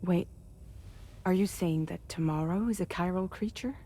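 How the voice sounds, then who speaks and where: Low-voiced, protective female team leader. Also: mellow. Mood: concerned, earnest. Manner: measured, earnest. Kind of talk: dramatic video game cutscene acting.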